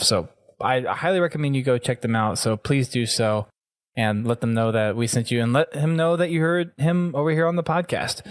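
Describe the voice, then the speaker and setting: A man's voice: medium pitch, dry recording, studio quality. A man speaking casually as the host of a gaming podcast.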